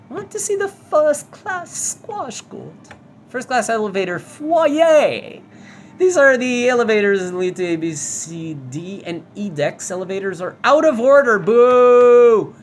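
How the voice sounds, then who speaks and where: A woman's voice: on the high side, a little hiss or hum. Chatty, foul-mouthed woman gamer. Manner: chatty and profane, reads signs aloud. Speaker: VR game reviewer. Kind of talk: casual gameplay commentary.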